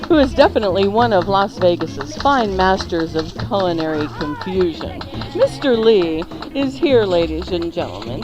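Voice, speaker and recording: A woman hosting a live morning radio show with a theatrical, playful announcer's delivery. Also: mid-range voice. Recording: loud music behind the voice. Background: music.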